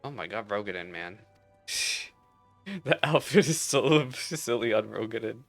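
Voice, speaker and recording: A man with a fairly low voice chats casually as he plays through a game. He reacts in a playful, slangy way, with some music playing behind him. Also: expressive, measured pace. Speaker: game streamer. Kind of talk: casual playthrough commentary with read-aloud dialogue.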